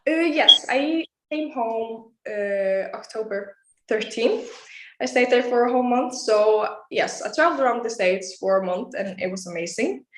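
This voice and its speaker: A woman's voice: a little high, expressive, moderate pace, fairly bright tone, reverberant, rough recording. An eighteen-year-old woman from Iceland, a pageant contestant answering interview questions earnestly and laughing often. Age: young adult.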